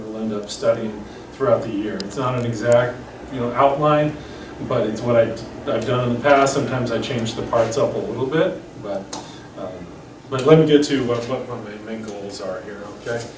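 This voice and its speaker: Lively male teacher in a distant, echoey room. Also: medium pitch, brisk. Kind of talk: addressing parents at a school open evening. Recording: echoey classroom from far off, background noise.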